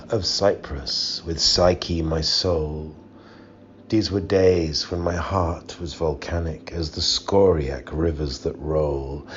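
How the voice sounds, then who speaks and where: A man reciting poetry dramatically in a solemn, theatrical, drawn-out manner. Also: low-pitched, darkish tone. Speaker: poetry reader. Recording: muffled, with background hiss.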